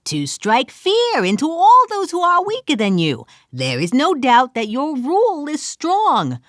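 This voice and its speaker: A woman's voice: high voice, wildly swooping pitch, unhurried, a bit bright, no room sound, clean studio sound, pitched above the performer's own voice, full character voice. A woman voicing an earnest adventurer who gives a grand, formal plea for peace.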